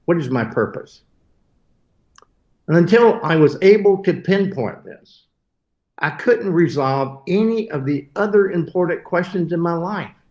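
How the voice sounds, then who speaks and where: A man's voice: a little high, darkish tone, dull audio. A man, giving an earnest prepared webinar talk as host and now and then correcting himself. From southern United States. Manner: earnest, with occasional self-corrections. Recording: roomy echo.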